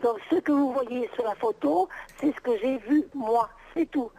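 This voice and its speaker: A woman's voice: fairly high pitch, unhurried, mellow. A middle-aged woman on an unscripted phone call, answering as a private seller, exasperated and insistent, repeating herself. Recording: noisy telephone call.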